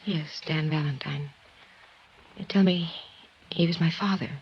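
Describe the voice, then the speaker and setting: A woman playing a poised, quietly intense twenty-one-year-old in 1950s radio drama, with a measured, earnest delivery. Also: low voice, slightly rough, full character voice. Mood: composed. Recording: dull vintage radio recording.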